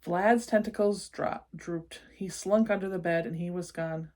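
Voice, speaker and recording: A woman's voice: fairly low pitch, unhurried, a little mellow. A woman reading a children's story aloud expressively, adding chatty asides for young listeners.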